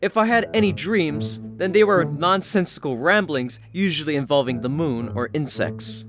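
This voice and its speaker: A man narrates a fantasy story in a slightly high register. His delivery is scripted storytelling, and music plays clearly underneath his voice throughout. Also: animated, normal speed. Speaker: audiobook-style story narrator. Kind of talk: scripted fan fiction narration. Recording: music playing behind the voice.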